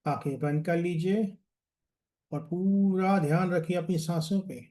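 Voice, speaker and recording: A man leads a guided relaxation as a meditation coach, soothing and deliberate, repeating phrases and letting them trail off. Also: sits high, warm tone.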